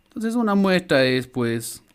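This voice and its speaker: A man's voice: sits high, big pitch swings, measured pace, clear, resonant tone. A middle-aged man with a Latin American Spanish accent, lecturing informally in an online class and often checking in with short question tags. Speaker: university lecturer. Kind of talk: explanatory online lesson. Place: indoor room, online class.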